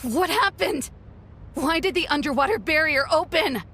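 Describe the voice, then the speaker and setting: A woman voicing a guarded, resigned young female turncoat in a game, halting and dramatic in delivery. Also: mid-range voice, slight background noise, lightly acted. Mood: startled. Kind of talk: game voice acting.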